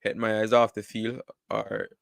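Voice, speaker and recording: A man's voice: on the low side, level tone, moderate pace, vocal fry, no echo, clean studio sound. A man giving casual live cricket commentary, full of repeated words and "you know" fillers.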